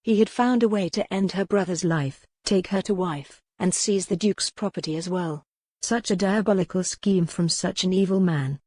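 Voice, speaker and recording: Low-pitched woman narrating historical romance. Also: normal speed, warm tone, dry recording. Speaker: audiobook narrator.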